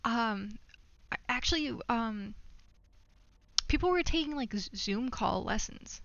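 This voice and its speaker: A woman's voice: on the high side, lively intonation, takes it slowly, fairly bright tone, no room sound, muffled recording. A young woman live streamer chatting casually from a room at home, rambling and thinking aloud as she plays. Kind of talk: casual gaming livestream chat.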